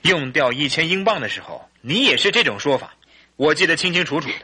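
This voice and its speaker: An actor playing a suspicious, anguished husband in a radio drama, with a husky, earnest and theatrical delivery. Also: male voice, a little high, sing-song, a little fast, bright, forceful tone, full character voice. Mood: stern, demanding. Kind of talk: Mandarin radio drama acting and storytelling.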